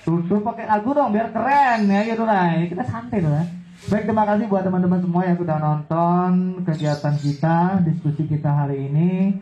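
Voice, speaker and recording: Mellow-voiced male host in a dull, echoey room. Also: high-pitched, a little fast. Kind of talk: closing remarks.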